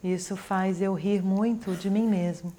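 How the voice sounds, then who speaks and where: A woman interpreting consecutively, with an even, steady, unemotional delivery. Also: mid-range voice, a little slow.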